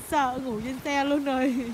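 Bright high-voiced woman filming the family camping, chatty, mixing vietnamese and english, noisy outdoor phone audio. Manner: cheerful, mixes Vietnamese and English. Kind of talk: casual family chat behind the camera. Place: outdoor campsite among trees.

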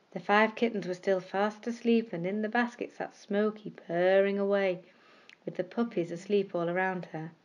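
A woman narrating scripted bedtime stories for children in a measured, expressive storytelling manner. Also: mid-range voice, lo-fi sound.

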